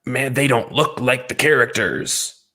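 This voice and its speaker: A man's voice: mid-range voice, measured pace, sharp tone. A man co-hosting a casual, opinionated talk show, speaking emphatically and repeating phrases for stress. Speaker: podcast co-host reviewing movies and games.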